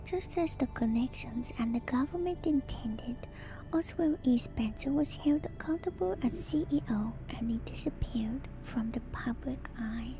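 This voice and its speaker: Asmr girl streamer, woman whispering real slow n breathy, kinda high voice, musing as she reads. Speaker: streamer and host. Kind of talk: whispered ASMR reading with casual commentary. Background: music.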